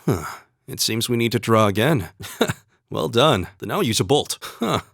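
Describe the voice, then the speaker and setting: A man's voice: medium pitch, lively intonation, creaky, dry recording. Earnest young male fighter, very dry sound. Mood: calm, friendly. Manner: earnest, measured, polite. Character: calm, courteous young male spear-wielding fighter from the plains. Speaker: video game voice actor. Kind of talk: scripted game dialogue and battle barks.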